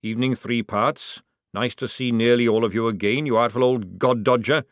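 A man voicing a gruff old army sergeant who barks orders at his recruits. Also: medium pitch, normal speed, no room sound, clean studio sound, close to an everyday voice. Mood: commanding. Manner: barking orders. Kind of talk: audiobook character voice.

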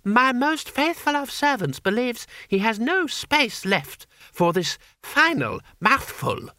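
Man voicing a high-pitched, imperious boy emperor. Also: very animated, slow, sharp tone, heavily acted. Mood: smiling menace. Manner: ornate, mock-courteous formal address. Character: boy emperor, imperious, politely menacing child ruler.

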